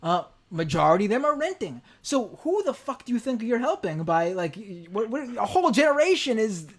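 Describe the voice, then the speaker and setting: A man's voice: sits high, big pitch swings, fairly quick. A man in his thirties hosting a podcast interview, talking bluntly and jokily with some swearing. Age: 30s. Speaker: show host. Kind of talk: casual podcast chat.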